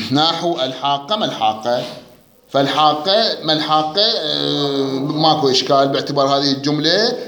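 Male Iraqi grammar teacher, high and fast, repeats key words, classroom echo. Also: animated, pushed and bright, slight background noise. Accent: Iraqi Arabic. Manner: drilling students with quick questions, repeating key words. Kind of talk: classroom grammar lesson with student back-and-forth. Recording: echoey classroom.

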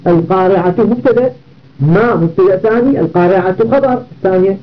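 Male grammar teacher, very high-pitched, methodical and repetitive, dull lo-fi recording. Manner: repeats and self-corrects, slows down for quoted verses. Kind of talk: explaining a classical grammar poem.

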